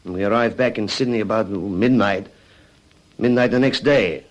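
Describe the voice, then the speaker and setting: An actor playing a cowed arson suspect who is eager to confess in a radio crime drama, speaking haltingly and earnestly. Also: male voice, on the low side, natural voice. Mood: halting confession.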